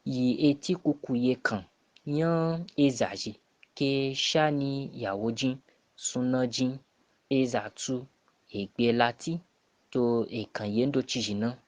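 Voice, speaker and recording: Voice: medium pitch, measured pace, no echo. A person giving a spoken answer as an academic contributor to a program. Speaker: academic answering a monthly question.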